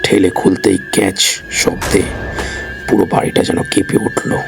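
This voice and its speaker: A man tells a horror story in a very low, creaky voice. His delivery is scripted storytelling with a highly animated rise and fall. Music plays clearly behind him. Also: normal speed. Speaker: storyteller and channel narrator.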